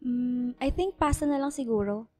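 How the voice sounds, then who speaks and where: A woman's voice: high voice, normal speed, darkish tone, natural voice. A woman acting a coy, candid young woman in love, talking conversationally in a radio romance drama. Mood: casual, shy.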